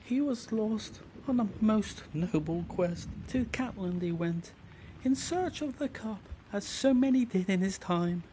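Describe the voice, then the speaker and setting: A man's voice: high voice, lively intonation, unhurried, mellow, slight background noise. A man playing a devoted, deferential servant in a video game, speaking in a formal, old-fashioned and reverent way. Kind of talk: scripted game character storytelling.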